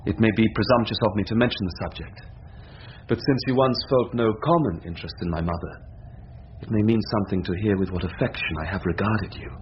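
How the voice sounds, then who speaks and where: An actor playing an earnest, proud young student in an old-time radio drama, speaking formally, on a dull, hissy recording. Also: male voice, lowish voice, fairly quick, close to an everyday voice. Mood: earnest, pleading.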